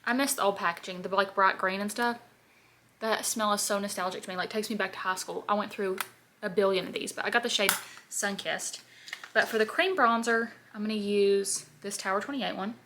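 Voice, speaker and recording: A woman in her twenties, a beauty vlogger, chatting casually and sassily while doing her makeup at home. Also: mid-range voice. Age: 20s. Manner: chatty, sassy, animated asides. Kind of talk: casual get-ready-with-me chat. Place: home, at her parents' house.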